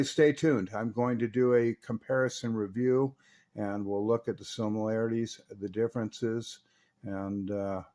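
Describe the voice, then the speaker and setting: A man's voice: on the low side, darkish tone. A man talking casually about technical repair work as a hands-on host, in a folksy, chatty manner. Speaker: radio repairer and video host. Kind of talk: casual technical talk and product commentary.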